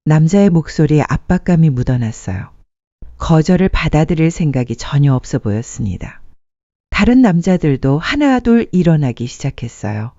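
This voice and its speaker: Mellow storyteller with animated pitch. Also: moderate pace, no echo. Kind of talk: scripted dramatic story narration. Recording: muffled dry studio sound.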